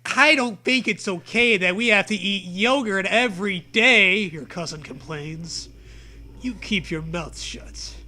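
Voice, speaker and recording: High bright-voiced man doing comic reading. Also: a little slow. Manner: mock-dramatic, breaks into laughter while reading. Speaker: comedy show host. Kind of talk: comic read-aloud of parody fiction with banter.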